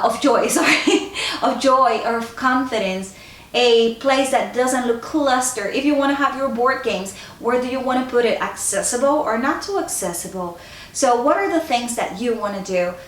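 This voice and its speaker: Woman, Puerto Rican accent, high-pitched, very lively intonation, encouraging counselor, informal advice vlog. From Puerto Rico. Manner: addresses viewers directly, encouraging. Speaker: school counselor giving advice. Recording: echoey, roomy sound.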